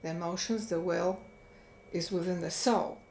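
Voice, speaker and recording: A woman hosting, giving a reflective monologue slowly and thoughtfully, pausing often to search for words. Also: lowish voice, fairly even intonation, vocal fry, darkish tone, reverberant, slight background noise. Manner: slow and thoughtful, with frequent pauses and fillers. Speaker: host.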